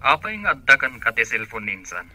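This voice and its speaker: A man's voice: medium pitch, big pitch swings, brisk, sharp tone, soft background music, poor audio. An actor with an Ilocano accent playing a suspicious, taunting man on a call in a radio drama, questioning confrontationally. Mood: mocking, suspicious. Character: suspicious, taunting male relative phoning the boss's house.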